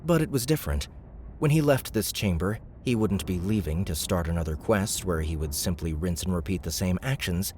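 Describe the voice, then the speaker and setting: A male audiobook narrator tells the story in a steady, even way. His voice is very deep, and a faint hiss sits under it. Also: normal speed.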